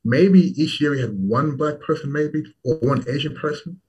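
A man's voice: fairly high pitch, normal speed, darkish tone, breathy edge, recorded from a distance. A man from Toronto, Louisville and Washington DC, talking casually as an interview guest and repeating words for emphasis. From Toronto, then Louisville, Kentucky and Washington DC. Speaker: startup founder as guest.

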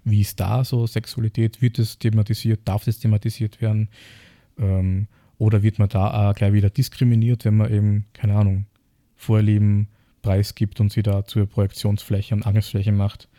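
A man's voice: fairly low pitch, flat delivery, moderate pace, dark tone, no room sound, clean studio sound. An Austrian man co-hosting a podcast, explaining reflectively in casual, piecemeal phrases with rhetorical questions. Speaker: podcast co-host.

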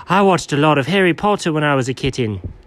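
Touchy pirate-voiced cat with mock British accent. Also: male voice, on the high side, expressive, a little fast, a bit bright. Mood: boastful, offended. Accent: badly imitated British. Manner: theatrical, indignant. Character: touchy, boastful ninja cat who sounds like a pirate. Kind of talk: comic cartoon dialogue.

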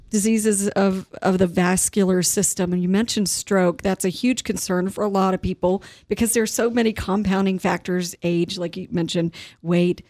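A woman's voice: medium pitch, darkish tone, dry recording. An American woman hosting a radio interview in a casual, conversational style. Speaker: radio host.

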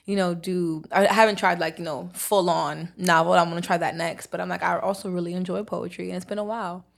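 A woman's voice: lowish voice, fairly even intonation, a little fast, creaky. A young woman with a Brooklyn accent, chatting casually as a guest and laughing often. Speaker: interview guest, author and poet. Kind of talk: casual conversation.

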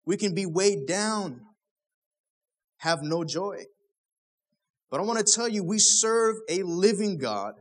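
A young man, a pastor, preaching a sermon in a casual, conversational way, full of rhetorical questions. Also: high voice.

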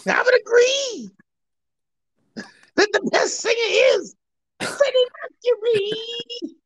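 A shrieking hard-rock singer. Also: male voice, takes it slowly, no room sound, full character voice, rough recording. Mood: boastful. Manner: high shrieking wails and shouts. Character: screeching hard-rock singer, man, brash and boastful.

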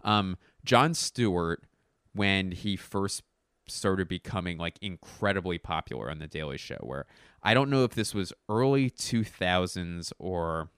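Low-voiced hoarse comedian, throat-clearing. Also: male voice, animated, unhurried, fairly bright tone, no room sound, studio quality. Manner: rambling, self-correcting, profane. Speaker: stand-up comedian. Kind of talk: casual talking-to-camera commentary. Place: at home.

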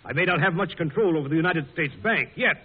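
An actor playing a blunt, folksy President and general in an old-time radio drama. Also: male voice, fairly high pitch, fast talker, husky. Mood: resolute. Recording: hissy, muffled old radio recording.

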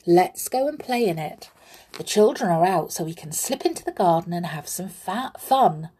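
A woman voicing an eager, playful male toy soldier in a children's storybook, with a husky voice. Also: on the low side, animated, moderate pace, dark tone, poor audio.

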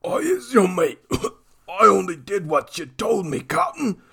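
A man doing a raspy wheedling crook. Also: high-pitched, very animated, measured pace, sharp tone, voice pushed up, full character voice. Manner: wheedling, broken grammar. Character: sly cringing male street crook.

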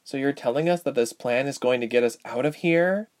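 A man's voice: mid-range voice, sing-song, moderate pace, darkish tone, pitched above the performer's own voice. A suspicious young woman from a storybook played by a male reader questions what she hears. She sounds skeptical, in a raised register.

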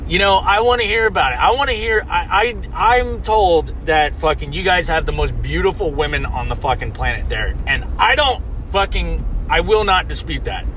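An American man from the United States delivering a loud, mock-indignant comedic rant, swearing constantly. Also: on the high side, animated, normal speed, few pauses, slight background noise, low-quality recording. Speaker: comedic ranter.

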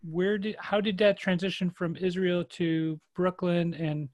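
A man's voice: high voice, flat delivery, a little slow, a little mellow. A man co-hosting an interview, speaking hesitantly as he searches for words. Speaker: co-host. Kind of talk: casual interview chat.

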